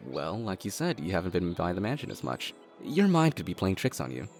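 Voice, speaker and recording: Audio drama role: sensible, wry adult brother, lowish and expressive, with music behind him. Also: male voice, heavily acted. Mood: calm, deflecting. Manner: measured, wry, reasoning. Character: level-headed, dorky adult brother from a wealthy family.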